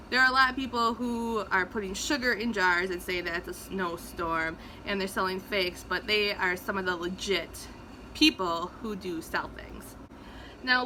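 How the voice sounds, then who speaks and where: A woman's voice: medium pitch, pushed and bright, a little hiss or hum, low-quality recording. A woman talking casually to viewers as she recaps a book, retelling it conversationally in short, emphasized phrases. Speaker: book reviewer hosting a read-along recap.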